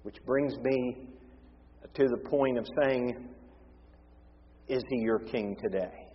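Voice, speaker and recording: A hoarse man preaching a sermon as pastor, impassioned, moving between forceful emphasis and hushed tones. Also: mid-range voice, fairly even intonation, takes it slowly. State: hoarse, losing his voice. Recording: reverberant hall, dull lo-fi sound.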